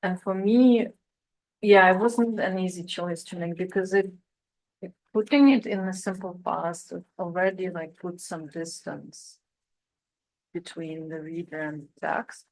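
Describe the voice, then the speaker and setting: Slow, thoughtful woman translator. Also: lowish voice, fairly even intonation, rough recording. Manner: thoughtful, with soft fillers and restarts. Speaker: translator on a panel. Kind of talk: answering an audience question.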